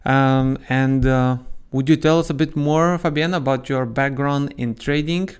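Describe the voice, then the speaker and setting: A man's voice: mid-range voice, steady tone, measured pace, clear, resonant tone. A man hosting a live stream, welcoming viewers formally and introducing his guest, with occasional hesitant filler sounds.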